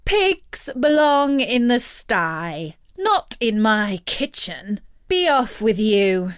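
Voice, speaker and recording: An imperious storybook enchantress, played by a woman narrator, scornful and commanding. Her voice rises high and swings widely, with slow, deliberate words. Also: sing-song, clean tone, no echo, clean studio sound, full character voice. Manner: commanding, disdainful. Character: imperious, scornful beautiful enchantress who bewitches men. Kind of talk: storybook character voice.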